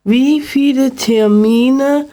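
A woman's voice: sits high, drawn-out words, warm tone. A female radio host reading out her interview questions carefully, word by word.

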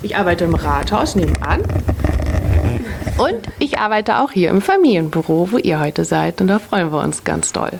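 A woman's voice: fairly low pitch, big pitch swings, normal speed, creaky, background noise. A woman in her thirties, a city family-office worker, patiently answering children's questions in simple words, often repeating the question back first. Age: 30s.

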